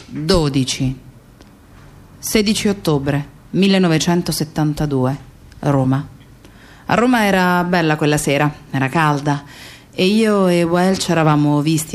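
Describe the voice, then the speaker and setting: An actress playing a grieving, defiant friend of a murdered man in radio theatre, with reflective, emphatic storytelling. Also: low voice. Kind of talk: dramatic radio theatre monologue.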